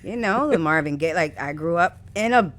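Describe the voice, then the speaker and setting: A woman poet from New City, a podcast guest telling candid stories about her upbringing with animated, laughing energy. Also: fairly low pitch, moderate pace. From New York City. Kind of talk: casual interview conversation. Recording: faint background noise.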